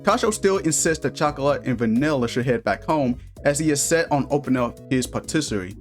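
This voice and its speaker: Male reviewer, average pitch, informal and cheeky scripted narration, light music bed behind the voice. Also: animated, a little fast. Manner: informal, slangy, crude humor. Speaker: video reviewer. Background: background music.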